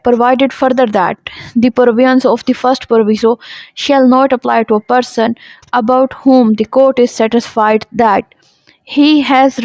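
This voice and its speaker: A woman's voice: fairly high pitch, darkish tone, no room sound. A woman with a Pakistani accent giving an explanatory law lecture, didactic, often asking and answering her own questions and mixing in English legal terms. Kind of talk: explanatory lecture, reading out statutes and glossing them.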